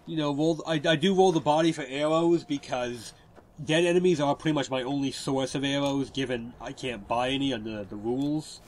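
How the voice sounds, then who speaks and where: A man with a stuffy cold, giving relaxed, explanatory gameplay commentary over a walkthrough. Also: medium pitch, brisk.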